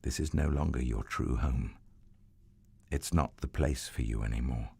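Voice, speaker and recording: A man voicing God as a loving, sorrowful father, speaking gently and earnestly. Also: extremely low pitch, moderate pace, gravelly, breathy edge, no room sound, pitched below the performer's own voice, full character voice.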